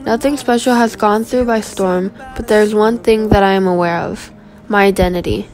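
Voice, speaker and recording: A fifteen-year-old girl from Guam reading her autobiography aloud as a school presentation, in an earnest, steady voice. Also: mid-range voice, moderate pace. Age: teenager, 15. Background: background music.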